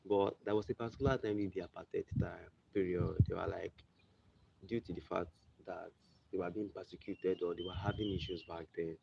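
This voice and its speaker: A young Nigerian man talks in a very low, gravelly voice, rambling and circling back on himself in a casual explainer. The sound is muffled and lo-fi, with steady noise underneath. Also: fairly quick. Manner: rambling, repeats and restarts, conversational asides. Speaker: video presenter. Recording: muffled lo-fi recording with background noise.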